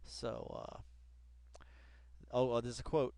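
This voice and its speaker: A man's voice: mid-range voice, flat delivery, slow, warm tone, dry recording. Slangy, emphatic man commenting casually. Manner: slangy, colloquial, addressing absent people directly; emphatic, repeats phrases for effect. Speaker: online video host. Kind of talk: casual read-along commentary on a web article.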